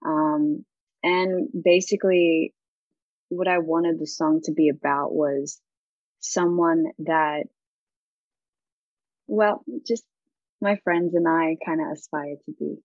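Giggly young Australian woman, lowish voice. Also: steady tone, slow pace, long pauses, darkish tone, no room sound, muffled recording. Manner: bubbly, giggly, rambling. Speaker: singer. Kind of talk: interview.

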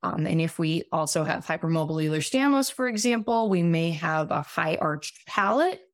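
Low-voiced raspy woman doctor explaining. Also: lively intonation, normal speed, no room sound, clean studio sound. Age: 30s. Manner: explains at length with many fillers and restarts. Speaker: guest physician. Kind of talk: casual podcast conversation.

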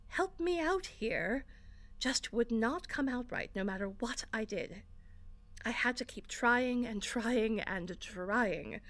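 A woman playing an exasperated, sardonic theater director in an audio drama, bossy but encouraging. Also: on the high side. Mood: firm, encouraging. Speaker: actor in an audio fiction podcast. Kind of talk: acted audio drama dialogue.

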